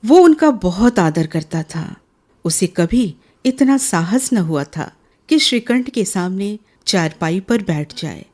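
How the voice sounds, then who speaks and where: A woman narrating a radio drama as a scripted story, in a measured and expressive way. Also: mid-range voice.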